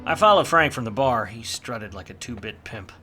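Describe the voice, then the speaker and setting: An actor playing a weary, wisecracking 1940s private detective in a film noir radio play, with a wry, slangy manner. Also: male voice, medium pitch, expressive, normal speed, pushed and bright, soft background music. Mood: brooding. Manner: wry, hard-boiled, slangy.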